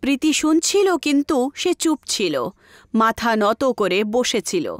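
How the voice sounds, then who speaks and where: Animated high-pitched woman narrating horror. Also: measured pace, no echo, studio quality. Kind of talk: dramatic horror story narration.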